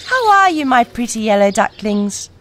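A woman's voice: on the high side, sing-song, normal speed, background noise, pitched above the performer's own voice. A woman voicing a bossy young farm girl who shoos and scolds. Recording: music playing behind.

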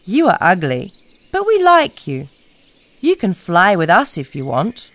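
A woman's voice: fairly low pitch, wildly swooping pitch, a little slow, a bit bright, heavily acted. A woman voicing a friendly flock of geese who speak kindly. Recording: music playing behind.

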